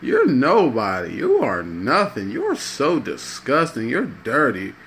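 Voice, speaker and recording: A man's voice: medium pitch, wildly swooping pitch, slow pace, a bit bright, exaggerated voice. Self-righteous churchgoer for spoken parable, played by a man: contemptuous, disgusted, cruel sneer, noisy recording. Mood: disgusted contempt.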